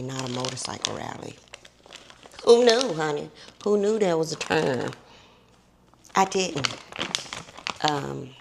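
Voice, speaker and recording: A woman's voice: low-pitched, creaky. A middle-aged woman vlogging to her viewers, chatty and drawn out, calling them honey and baby, her voice a little tired and scratchy. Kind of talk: casual chatty vlog.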